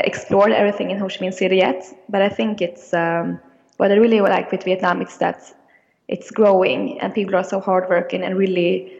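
Swedish-raised young woman, flat and gravelly. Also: medium pitch, long reverb tail, dull audio. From Sweden. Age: young adult. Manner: hesitant, many fillers and restarts. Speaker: interview guest. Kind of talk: casual interview answers.

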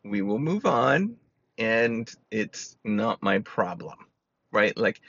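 A man talking through his feelings in a rambling personal monologue, full of fillers, with a voice he calls squeaky. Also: very animated, takes it slowly, creaky, fairly bright tone, slightly rough, no room sound, dull audio. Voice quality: says he has a squeaky voice. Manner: rambling, self-reassuring, many "you know" fillers.

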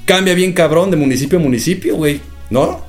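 A young Mexican man with a Guadalajara accent talks in a rapid, slang-heavy way with plenty of swearing. His voice sits a bit on the high side. Faint music plays behind him. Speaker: podcast co-host. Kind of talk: casual podcast chat.

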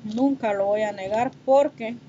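High-pitched Honduran woman, muffled noisy stream. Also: a little slow, dark tone. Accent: Honduran Spanish. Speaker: livestreamer chatting with viewers while doing makeup. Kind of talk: casual chat reading and answering comments. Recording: muffled, noisy livestream audio.

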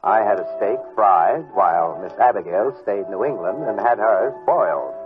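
Casting: male actor for laid-back American private detective, lowish voice, wry and hesitant delivery, old muffled radio drama sound. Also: lively intonation, normal speed, natural voice. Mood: wry, storytelling. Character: wry, easygoing male private detective narrating his case. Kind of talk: old-time radio detective drama acting and first-person narration. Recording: muffled vintage radio recording with music.

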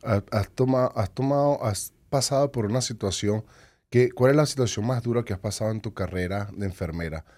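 A man hosting an interview, asking questions in a halting way and often restarting his sentences. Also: lowish voice, a little mellow, no echo. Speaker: podcast host.

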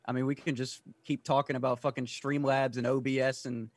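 A man's voice: mid-range voice, level tone, a little fast. A man in casual podcast conversation, talking in laid-back slang and swearing freely. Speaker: podcast participant. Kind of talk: casual chat.

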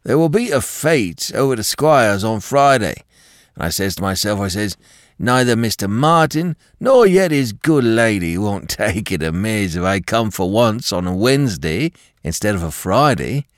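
Man voicing an old village gardener, folksy, obstinate, swooping pitch, dry sound. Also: animated, moderate pace, no room sound, close to an everyday voice. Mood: cheerful. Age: older. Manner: folksy rustic dialect, chuckling. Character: old male village gardener, stubborn and placid.

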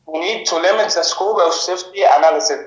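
A man's voice: high voice, fast talker. A young man with an Egyptian accent, an engineer calling in to a podcast to ask a question. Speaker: guest caller, automotive safety engineer. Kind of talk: asking a question on a podcast call. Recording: roomy, echoey call audio.

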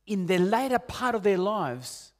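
Earnest Peruvian-born preacher, late forties. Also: male voice, very animated, a little slow. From Peru. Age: 40s. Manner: earnest, rises loud on key points and drops soft and low. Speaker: pastor. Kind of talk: sermon.

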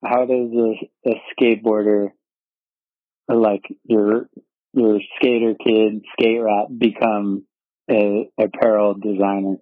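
A laid-back male host with a low voice asks easygoing, slangy questions. His voice comes through dry and narrow, like a phone or call line. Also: little pitch movement, slow pace, dry recording. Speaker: interview host. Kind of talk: casual interview conversation.

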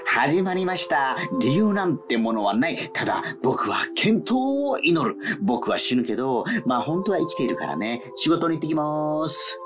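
A woman in a whimsical radio drama playing a dying withered leaf, a gently philosophical male character speaking in a theatrical, poetic manner. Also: sits low, big pitch swings, moderate pace, hardly any pauses, music in the background. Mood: theatrical, rousing.

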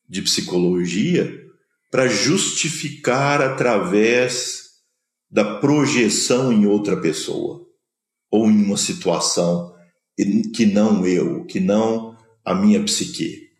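A man from Minas Gerais, Brazil, speaking with a Minas Gerais accent, gives a slow, measured spiritual lecture, pausing between short phrases. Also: on the low side, expressive, echoey room. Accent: Brazilian Portuguese, Minas Gerais. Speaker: spiritual teacher. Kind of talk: spoken lecture on scripture.

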